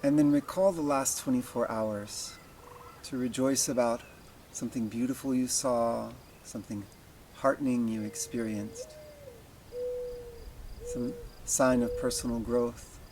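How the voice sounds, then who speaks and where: A man leading a guided meditation as a teacher, speaking in slow, deliberate phrases with long pauses. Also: medium pitch, sing-song, a little mellow, a little hiss or hum.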